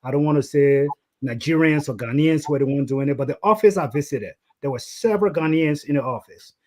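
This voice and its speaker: A man's voice: on the high side, brisk, slight background noise. A Liberian man hosting a panel discussion over a video call, asking pointed, probing questions. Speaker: host.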